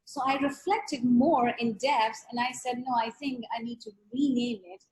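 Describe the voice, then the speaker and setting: A woman from Pakistan with a high voice talks about her artwork over a video call. She is earnest and reflective and repeats words to stress them. The sound comes through a call connection. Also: normal speed, a little mellow, poor audio. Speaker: artist. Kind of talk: reflective interview talk.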